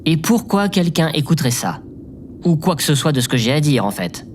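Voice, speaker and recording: A man's voice: a little high, sing-song, a little fast, a little hiss or hum. A man playing a hesitant, self-doubting oddball in an audio drama, speaking with stiff politeness as if musing aloud. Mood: resigned.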